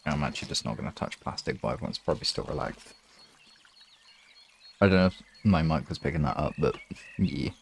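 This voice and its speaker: A man chatting casually and softly with his listeners as an ASMR streamer, relaxed and unhurried. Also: rumbling low, creaky, a little hiss or hum. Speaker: ASMR hypnosis live streamer.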